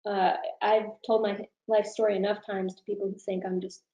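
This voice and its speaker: A woman with a soft-edged, mellow voice answers as a professor being interviewed. She is informal and thoughtful, reshaping sentences as she talks. The room adds a noticeable echo around her. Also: mid-range voice, little pitch movement, normal speed. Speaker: university professor, interview guest. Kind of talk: conversational webinar answers. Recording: roomy, echoing room sound.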